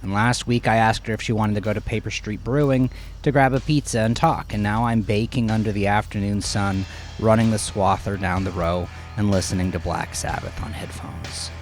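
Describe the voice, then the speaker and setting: Low-pitched man narrating dramatic first-person fiction. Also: moderate pace, soft background music. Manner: expressive, acting out the narrator's reactions. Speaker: author narrating own horror story.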